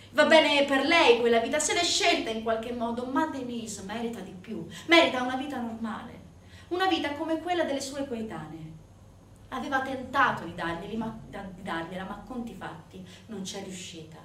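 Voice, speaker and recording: A woman's voice: fairly high pitch, a bit bright. Woman in dramatic monologue, roomy distant recording. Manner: intense, emphatic, with swelling and dropping delivery. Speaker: stage actress. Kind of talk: dramatic theatrical monologue narration. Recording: roomy, distant microphone, faint noise.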